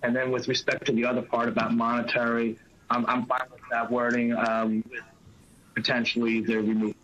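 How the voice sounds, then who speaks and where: Calm man on a patchy call. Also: mid-range voice, brisk, muffled recording, slight background noise. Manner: calm, methodical, with "um"s. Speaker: board member in a meeting. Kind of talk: formal remote meeting discussion. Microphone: video call, cutting out.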